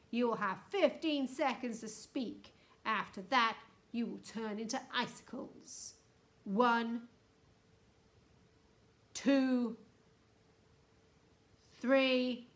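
A woman voicing an angry, urgent Space Control announcer in a children's storybook, booming loudly and commandingly. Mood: threatening. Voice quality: booming, very loud. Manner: urgent, commanding, drawn-out shouted orders.

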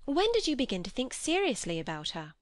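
Woman playing a vivacious, higher-pitched society young lady. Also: a little fast. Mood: delighted, eager. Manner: animated, exclamatory, inquisitive. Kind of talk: audiobook character dialogue.

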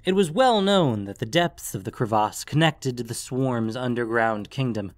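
A man's voice: medium pitch, expressive, moderate pace. A man narrating a scripted fantasy story as the narrator of an audio production. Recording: music under the voice.

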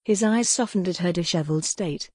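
A woman reads dramatic, scripted narration for a historical romance audiobook. Her voice sits a little low, and the recording is close and dry. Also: brisk, a little mellow, no room sound.

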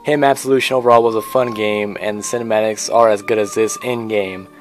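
A man's voice: fairly low pitch, fairly even intonation, fast, music in the background. A man giving casual, informal and opinionated commentary as the host of a video game countdown.

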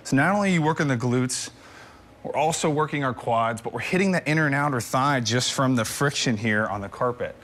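A man's voice: mid-range voice, brisk, a bit bright. A man in his thirties, a fitness trainer, giving an upbeat live demonstration in a TV news studio on a lapel mic, talking while doing floor exercises. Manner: upbeat, instructional, says "absolutely" often.

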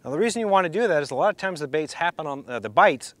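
A man's voice: fairly high pitch, very fast, no room sound. Man explaining fishing from a boat. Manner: relaxed, practical, restarts phrases while demonstrating. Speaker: fishing instructor. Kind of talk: outdoor how-to demonstration. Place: small boat on a lake, outdoors.

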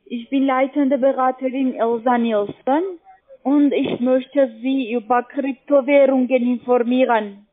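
Female call centre adviser, non-native speaker, fairly high voice, scripted pitch down a narrow phone line. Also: steady tone, unhurried. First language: not German.

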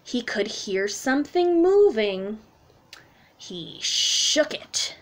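A woman's voice: on the high side, extremely slow. A woman in her thirties, a children's teacher, reading a picture book aloud expressively to young children. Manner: expressive, simple explanations addressed to young children. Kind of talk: children's storytime read-aloud. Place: small room at home, indoors.

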